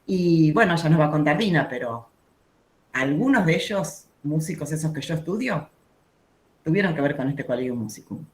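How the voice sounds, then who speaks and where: A woman's voice: low-pitched, lively intonation, normal speed. A woman presents a speaker in an informal spoken introduction.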